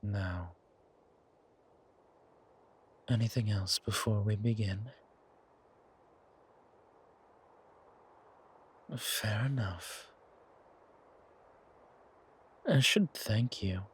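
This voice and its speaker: A man playing a suave, menacing, hungry vampire in an ASMR horror roleplay, with a softly taunting, drawn-out delivery. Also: on the low side, a little hiss or hum, natural voice. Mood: hungry, predatory. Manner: slow, drawn-out, softly taunting, often whispering.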